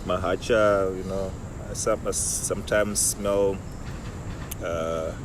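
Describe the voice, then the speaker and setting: A very low-pitched young man from Zimbabwe chats easily about his work as a winemaker. He talks in an unhurried, reflective way, often murmuring agreement. He is recorded outdoors, with a clear steady hum of background noise. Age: young adult. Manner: relaxed, reflective, frequent affirming "yeah". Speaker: winemaker guest. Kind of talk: casual interview chat. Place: outdoors under a large tree.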